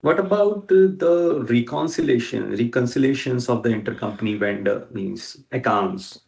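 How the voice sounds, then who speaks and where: A man in a work meeting asking questions and checking his understanding, halting as he goes. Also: medium pitch, vocal fry, a little mellow. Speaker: interviewer documenting a work process. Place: recorded online work meeting.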